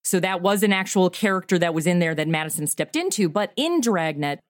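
A woman's voice: lowish voice, animated, fairly quick, no room sound, studio quality. A woman chatting casually as an interview guest, emphatic and given to repeating words for stress. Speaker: podcast creator and writer, interview guest.

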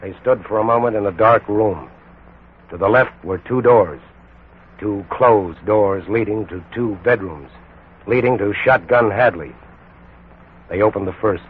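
An American man narrating a 1940s radio crime drama in a solemn, dramatic way with emphatic pauses. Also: on the low side, a little hiss or hum. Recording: tinny 1940s radio recording, music behind. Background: music.

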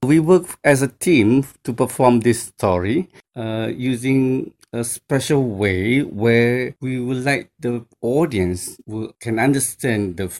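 A male storyteller from Malaysia with a Mandarin-speaking background, answering interview questions in a deliberate way with frequent fillers. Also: mid-range voice, a little mellow. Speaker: storyteller guest.

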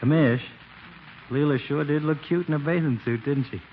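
An actor playing a folksy, teasing small-town barber in 1940s radio comedy, talking in slangy, needling style. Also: male voice, on the high side, normal speed, lightly acted. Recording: muffled, faintly noisy 1940s radio recording.

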